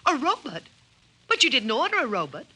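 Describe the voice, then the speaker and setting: An actress playing a practical, nagging suburban wife in 1950s radio drama style, brisk and scolding. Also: female voice, high voice, huge pitch swings, sharp tone, slightly rough, full character voice, low-quality recording. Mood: wry, scheming.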